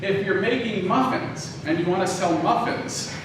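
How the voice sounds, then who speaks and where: A man speaks as a lecturer in a conversational, stop-and-start manner. His voice rings around a heavily reverberant room and reaches a distant microphone, with clear background noise. The delivery is informal, aimed at a live audience. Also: sits high, normal speed. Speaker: lecturer, audio drama producer. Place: indoor room talk before an audience. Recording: very echoey room, distant mic, noisy.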